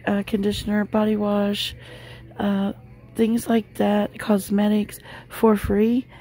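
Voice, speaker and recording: A woman's voice: mid-range voice, completely flat delivery, slow pace, warm tone, poor audio. A middle-aged woman chatting casually as she films a walk through a large store, talking loosely with many fillers. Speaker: shopping vlogger. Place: inside a large discount store. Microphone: handheld phone camera.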